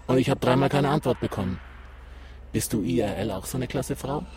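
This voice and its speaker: A man's voice: medium pitch, fairly quick, a little mellow, a little hiss or hum, natural voice. An actor playing a cool, flirtatious male gamer in a radio drama, with casual, slangy delivery. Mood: flirtatious, insistent. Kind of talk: scripted radio drama acting. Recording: music behind the voice.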